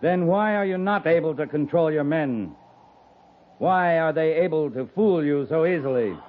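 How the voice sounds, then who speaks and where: An actor playing a stern, shrewd, commanding US Army cavalry captain in 1950s radio western style. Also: male voice, a little high, animated, moderate pace, close to an everyday voice. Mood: stern, challenging. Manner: commanding, clipped, sternly challenging. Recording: muffled lo-fi 1950s radio recording.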